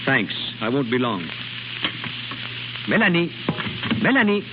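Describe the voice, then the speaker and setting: An actor playing a suave, coolly practical gentleman who speaks politely and with control, in a 1930s radio drama. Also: mid-range voice, sing-song. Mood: polite, composed. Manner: polite, measured, admonishing. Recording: hissy, dull 1930s radio recording.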